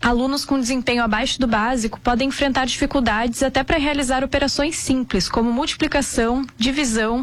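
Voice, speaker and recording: A woman reading a scripted radio news report in a measured, formal way. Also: high-pitched.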